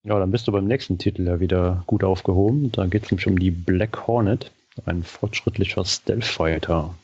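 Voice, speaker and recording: Low, husky man, slightly muffled. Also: normal speed, darkish tone. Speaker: podcast co-host. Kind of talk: casual retro-gaming podcast talk.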